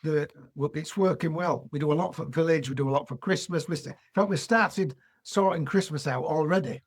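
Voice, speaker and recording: A man's voice: a little high, a little fast, slightly rough. A middle-aged man with a Yorkshire accent, a farmer guest chatting in a down-to-earth, rambling way. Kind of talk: casual chat.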